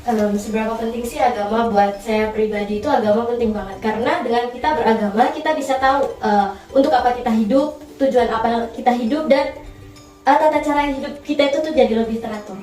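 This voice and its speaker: Young woman talking fast, high-ish voice, roomy distant sound. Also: a little mellow. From Indonesia. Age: young adult. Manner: quick, fluent, with filler "eh". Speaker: student interviewee. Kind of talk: casual student interview. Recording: roomy distant mic with music.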